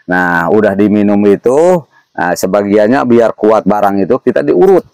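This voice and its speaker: A man's voice: fairly low pitch, animated, moderate pace, darkish tone, clear, resonant tone, no room sound. A man, explaining folk remedies casually as an interview guest, repeating himself and often checking in with short tags. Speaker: interview guest sharing traditional herbal remedies.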